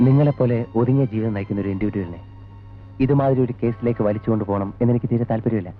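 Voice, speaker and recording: Casting: man playing a fast-talking, insistent detective, formal and stern, dull old film sound with music. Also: mid-range voice, close to an everyday voice. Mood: insistent, pressing. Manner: formal, insistent, mixing in English words. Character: stern, methodical male police investigator questioning suspects. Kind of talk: 1980s Malayalam film acting.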